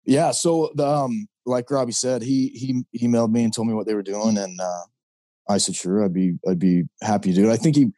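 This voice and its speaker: Man, hunting guide, relaxed storyteller, warm dark tone, deep voice. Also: a little fast, dry recording, rough recording. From Idaho, US. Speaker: podcast guest, hunting guide. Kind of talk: casual interview talk.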